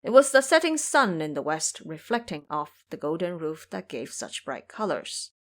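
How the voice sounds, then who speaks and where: A woman narrating a scripted audio drama in an expressive, theatrical storytelling manner. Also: low-pitched, moderate pace.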